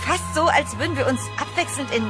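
A high-voiced, determined heroine of a horror radio play, played by an actress. She is bold and presses on with purpose. Music runs clearly behind her. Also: a little fast, natural voice. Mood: puzzled, uneasy. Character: determined, bold young woman investigating a haunted hotel. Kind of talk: horror radio drama acting.

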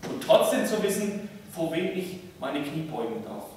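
A man preaching a sermon as a pastor, in measured, deliberate phrases with pauses. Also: a little high, expressive, a little mellow. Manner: measured, deliberate, pausing between short phrases. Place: large church interior, speaking from a pulpit. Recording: echoey church, distant mic, faint noise.

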